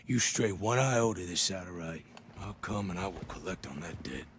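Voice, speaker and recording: Stern threatening man, lowish pitch, menacing, grudging, video game drama, faint ambience. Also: expressive, normal speed, noisy background. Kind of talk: video game cutscene acting.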